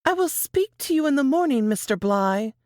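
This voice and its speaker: A woman's voice: high-pitched, big pitch swings, moderate pace, darkish tone, no room sound, clean studio sound, pitched above the performer's own voice. A woman voicing a frightened but defiant young mountain woman in a story reading, guarded and urgent. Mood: tense, firm.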